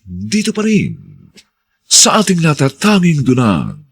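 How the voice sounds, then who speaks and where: Husky, dramatically swooping male radio announcer. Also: fairly high pitch, huge pitch swings, a little slow, a bit bright, no room sound. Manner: solemn, theatrical, poetic delivery.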